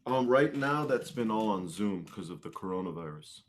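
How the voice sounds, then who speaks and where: Guy with a pretty low voice chatting in an interview, kinda hesitant, feels his way thru sentences. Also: expressive, normal speed, slight background noise. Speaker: guest, musician and martial arts instructor. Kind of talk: casual interview chat.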